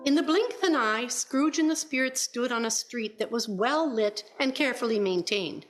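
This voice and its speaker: A woman's voice: on the high side, lively intonation, normal speed, faint music behind. A woman narrating a radio play from a script in storytelling style.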